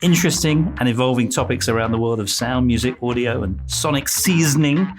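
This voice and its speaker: A man's voice: mid-range voice, a little fast, soft background music. A middle-aged or older man hosting an interview podcast, chatting with a guest and delivering sign-offs. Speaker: podcast host.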